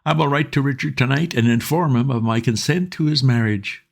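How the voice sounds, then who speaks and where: A man's voice: mid-range voice, a little fast, vocal fry, voice pushed up, close to an everyday voice. A man voicing a gushing old lord. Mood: gracious. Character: elderly lord, sentimental and pompous.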